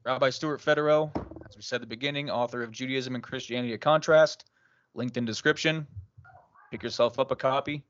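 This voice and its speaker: A man's voice: medium pitch, brisk, a bit bright, highs cut off. A man hosting a religious discussion podcast, talking casually and laughing as he tells a story. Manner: conversational, laughs while telling stories. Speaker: podcast host.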